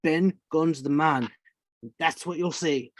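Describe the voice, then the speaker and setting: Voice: mid-range voice, slow pace, dry recording, close to an everyday voice. An actor playing a marooned, half-mad old pirate castaway in a radio play, rambling and repeating words in rustic sailor speech. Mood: confiding. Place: indoors, remote online reading. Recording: dry video-call recording.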